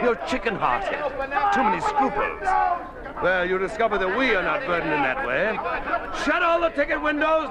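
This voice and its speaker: A bullying, sneering commissar in a 1950s radio drama. His high voice swoops dramatically as he gives imperious orders, through clear old-recording noise. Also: full character voice. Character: bullying, menacing communist commissar, grown man.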